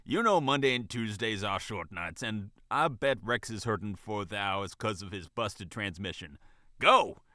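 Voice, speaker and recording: A man's voice: mid-range voice, big pitch swings, measured pace, pushed and bright, no room sound, studio quality. A man voicing a bold, kindly, nosy female fox who manages a diner, in a folksy, casual storybook voice. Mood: firm, kindly. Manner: folksy, casual, sassy, says ain't and sugar.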